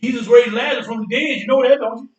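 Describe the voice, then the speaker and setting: A man's voice: very high voice, fast. An actor playing a blustering, teasing, boastful man in a stage play, with an American accent and a folksy, repetitive, needling way of talking. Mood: teasing, ominous. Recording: echoey stage, distant mic, music.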